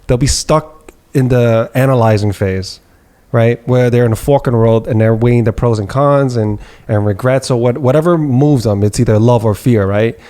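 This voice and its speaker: A man's voice: mid-range voice, moderate pace, dark tone. A man hosting a podcast, reflective and asking probing questions in casual conversation. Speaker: podcast host.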